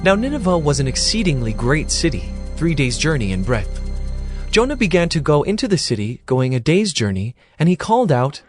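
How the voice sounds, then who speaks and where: Casting: male narrator for dramatized scripture, animated intonation, slightly high voice, faint music behind. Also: fairly quick.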